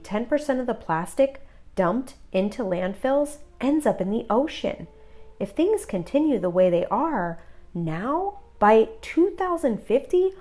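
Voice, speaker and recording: A woman narrating a children's read-aloud in a deliberate, instructive manner. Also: fairly high pitch, darkish tone. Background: music behind the voice.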